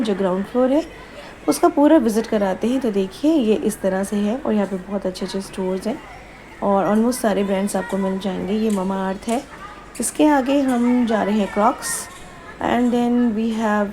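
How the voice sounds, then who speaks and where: A woman's voice: medium pitch, warm tone, slight background noise, poor audio. A woman narrating a family vlog in a chatty, casual way, slipping English phrases into her Hindi. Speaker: vlogger.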